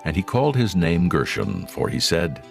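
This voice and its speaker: A man narrates scripture in a solemn, scripted manner. His voice is profoundly deep and creaky, with lively rises and falls. It suits a dramatized audio Bible. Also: normal speed, soft background music.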